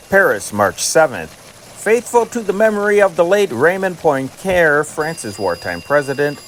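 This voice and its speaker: A man reading scripted news dispatches in a formal, measured newsreader manner. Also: medium pitch, slight background noise, low-quality recording. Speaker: narrator and channel host reading historic news dispatches.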